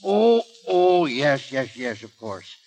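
A man's voice: medium pitch, sing-song, takes it slowly, a little mellow, faint music behind, poor audio. An actor playing a befuddled, absent-minded old professor in a 1930s radio comedy, dithering and hesitant as he loses his thread. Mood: flustered, vague. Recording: vintage 1930s radio broadcast.

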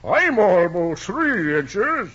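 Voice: mid-range voice, sing-song, very slow, fairly bright tone, husky, heavily acted. An actor voicing a small, haughty creature who scolds and gives curt advice, in a dull old film recording.

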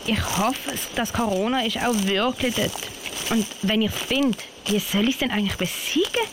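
A woman's voice: fairly high pitch, normal speed, background noise, natural voice. A woman playing a plucky young scout girl in a children's radio play, talking her thoughts aloud. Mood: doubtful. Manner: lively, thinking aloud.

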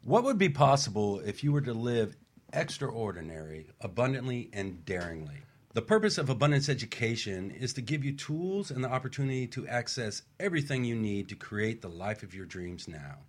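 A man's voice: medium pitch, very animated, normal speed. A man hosting a radio show from a studio, enthusiastic and announcer-like.

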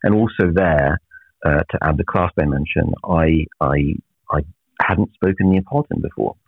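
Deep husky man on a tinny line. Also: no room sound. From Naples, Italy. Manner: measured, reflective, many filler pauses. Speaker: professor, guest. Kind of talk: interview answers.

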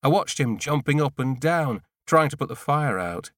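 A man's voice: mid-range voice, animated, normal speed, a bit bright, dry recording. Man narrating, close and dry. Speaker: audiobook narrator. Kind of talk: scripted literary narration in the first person.

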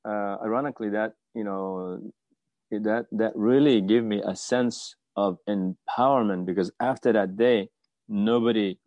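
A man in his fifties from Afghanistan telling his own story as an interview guest, with a halting, hesitant delivery. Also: lowish voice, fairly even intonation, no room sound, dull audio. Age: 50s. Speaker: guest, martial arts teacher.

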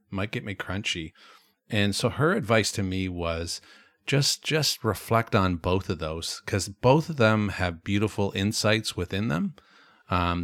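A man co-hosting a podcast, talking in a thoughtful, reflective way with frequent pauses and restarts. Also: sits low, a bit bright, dry recording. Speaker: podcast co-host. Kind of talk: casual reflective chat.

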